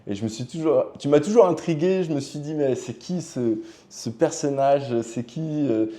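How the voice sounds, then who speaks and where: A man's voice: fairly high pitch, moderate pace, warm tone. A man hosting a podcast interview in a casual, conversational style, feeling his way through informal, hesitant phrasing. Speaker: podcast host.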